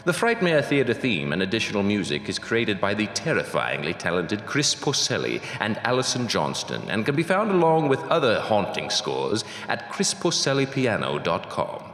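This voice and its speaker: A man's voice: lowish voice, huge pitch swings, moderate pace, few pauses, a bit bright, exaggerated voice. A man playing a stately ghostly announcer, reading scripted horror radio narration in a formal, ominous, theatrical manner. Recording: echoey hall-like reverb.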